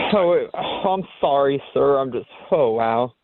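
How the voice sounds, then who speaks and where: A man's voice: mid-range voice, animated, slow pace, no echo. Flustered American man on a tinny phone line. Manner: defensive, flustered. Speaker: caller being questioned by police. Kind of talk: phone conversation. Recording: tinny lo-fi recorded phone call.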